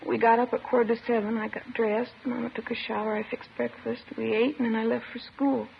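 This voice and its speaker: An actress playing a shaken, bitter teenage girl in a 1950s radio drama, halting and subdued. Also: on the high side, flat delivery, gravelly. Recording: muffled, slightly noisy old radio recording.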